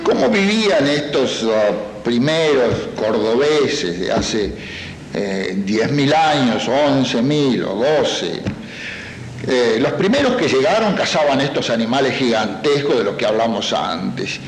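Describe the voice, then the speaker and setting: A man's voice: mid-range voice, animated, takes it slowly, soft background music. A man explaining as an expert in an interview, in a didactic academic way. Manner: didactic, poses rhetorical questions. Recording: echoey room, faint background noise.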